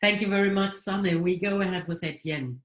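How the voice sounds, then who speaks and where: A woman moderates an online panel discussion, pausing often with fillers. Her voice sits somewhat low, and the room around her rings with echo. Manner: hesitant, many fillers. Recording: roomy echo.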